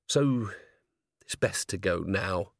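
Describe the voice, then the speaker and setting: A man voicing a wry, world-weary adult werewolf woman with a dry, brisk, guarded manner in a storybook reading. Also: deep voice, lively intonation, creaky, dry recording, pitched below the performer's own voice, exaggerated voice. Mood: resigned.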